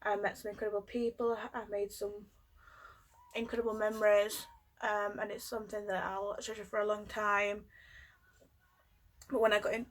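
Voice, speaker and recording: A woman's voice: medium pitch, flat delivery, normal speed, long pauses, low-quality recording. Young northern woman with a cold. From Yorkshire, England. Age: 20s. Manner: hesitant, many ums and restarts. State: has a cold, coughing. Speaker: vlogger. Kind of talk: personal story vlog.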